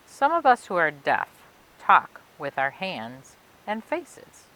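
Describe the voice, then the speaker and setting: A woman reading a children's picture book aloud for a library storytime, expressively and phrase by phrase with emphatic pauses. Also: fairly low pitch, huge pitch swings, sharp tone, low-quality recording. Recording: clear background noise and hiss.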